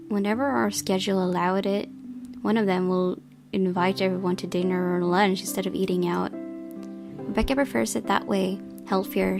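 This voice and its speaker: A woman narrates a visual novel with expression, reading as she plays. Her mid-pitched voice has plenty of creak, and music plays clearly underneath. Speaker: game player reading aloud.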